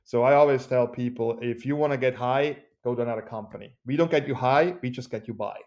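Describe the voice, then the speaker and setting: A man with a Swiss accent, a company CEO speaking as a guest in a podcast interview, with an energetic, joking pitchman delivery. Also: quick pace, highs cut off. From Switzerland.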